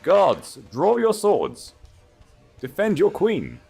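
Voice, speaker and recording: A man voicing a hysterical, imperious false queen who shouts commands in a fantasy audiobook. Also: sits high, huge pitch swings, takes it slowly, creaky, husky, faint music behind, pitched above the performer's own voice, heavily acted. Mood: hysterical, commanding.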